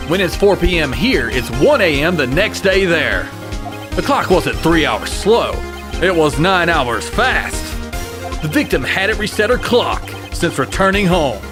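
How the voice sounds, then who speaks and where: Man voicing an excitable young rookie lawyer. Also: fairly high pitch. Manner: earnest, dramatic. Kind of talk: video game fandub acting with internal monologue.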